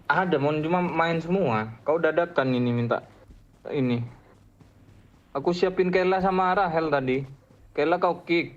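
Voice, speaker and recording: A man's voice: a little high, measured pace, pauses often, lo-fi sound. A man playing a mobile shooter game with his squad, chatty and teasing as he calls out enemies. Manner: chatty, teasing, laughs easily. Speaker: squad teammate. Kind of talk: live gameplay chat. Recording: loud gunfire and game noise behind.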